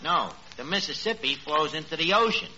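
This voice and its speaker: Gullible New York barkeep, old noisy radio. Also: male voice, fairly high pitch, lively intonation, fairly quick, bright, forceful tone. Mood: exasperated. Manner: ungrammatical street talk with pompous airs. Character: gullible, self-important working-class New York barkeep Archie. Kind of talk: 1940s radio comedy acting. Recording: muffled, noisy 1940s radio recording.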